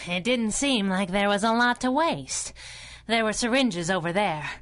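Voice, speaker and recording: An actress playing a sassy, tough, quick-witted crime fighter with a wry, colloquial way of talking in a radio drama. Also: female voice, mid-range voice, lively intonation, a bit bright.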